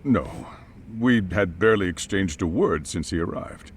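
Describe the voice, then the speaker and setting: A man's voice: low voice, animated, unhurried, a little hiss or hum. An actor playing a formal, guarded school chairman in a video game dub, hesitant and evasive. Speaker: head of a school.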